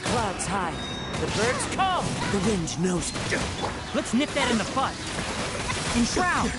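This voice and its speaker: High-pitched spirited forest fighter. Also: female voice, big pitch swings, slow pace. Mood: battle-ready. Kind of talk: video game battle lines. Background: loud game music and battle crashes.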